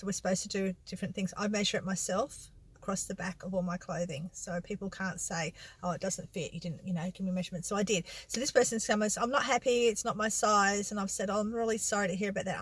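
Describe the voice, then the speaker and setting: A middle-aged Australian woman chats in a casual vlog style, wandering through her thoughts and correcting herself in everyday colloquial language. Her voice sits at an average pitch for a woman, and the sound is dry, with very little room around it. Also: dry recording. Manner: rambling, self-correcting, colloquial. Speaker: vlogger and secondhand reseller. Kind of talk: casual chatty vlog monologue.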